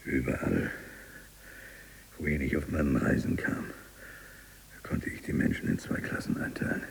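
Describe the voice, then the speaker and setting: Very deep, gravelly embittered libertine. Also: male voice, lively intonation, normal speed, a little hiss or hum, exaggerated voice, rough recording. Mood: bitter, confiding. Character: embittered imprisoned male libertine writer with revolutionary zeal. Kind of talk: literary radio drama monologue.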